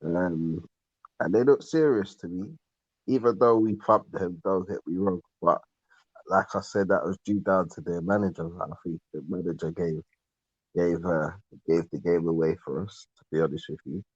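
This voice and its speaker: A man's voice: low-pitched, fairly even intonation, moderate pace, dry recording. A man giving his views as a football podcast panellist in casual chat, on a dull microphone.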